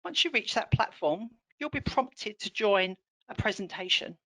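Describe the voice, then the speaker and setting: A woman trainer with a hoarse, croaky throat, leading an online teaching session in an upbeat, encouraging way. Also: medium pitch, expressive, normal speed, sharp tone, rough recording. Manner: upbeat, encouraging, clear explaining.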